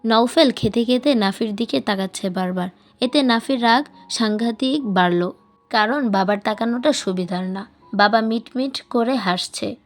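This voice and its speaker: High-voiced woman narrating a story over music. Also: moderate pace. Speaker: storyteller reading a novel aloud. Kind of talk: scripted audiobook narration with acted dialogue.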